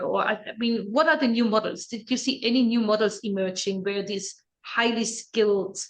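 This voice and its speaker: A woman's voice: mid-range voice, poor audio. A woman hosting a podcast interview, curious and asking long, open questions. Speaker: podcast host, coach. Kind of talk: conversational interview.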